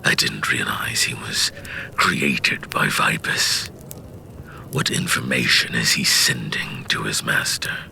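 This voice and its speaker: Menacing warlord, gravelly and super forceful, stern, fantasy audio drama, faint rumbly noise. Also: male voice, takes it slowly, heavily acted. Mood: brooding. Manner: stern, impatient, commanding. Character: proud, grim, menacing warlord with short temper.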